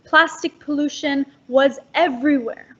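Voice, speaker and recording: A woman's voice: high-pitched, fairly even intonation, a little slow, fairly bright tone, highs cut off. A teenage girl from Indonesia answering interview questions over a video call from home at night, eager and full of ums. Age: teens. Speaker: guest.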